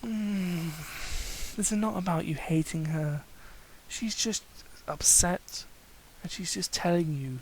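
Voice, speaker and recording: A man's voice: sits high, takes it slowly, long pauses, slight background noise, rough recording. A man giving a dramatic reading of fan fiction, breaking off for mocking, exasperated asides. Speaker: reader commenting on fan fiction.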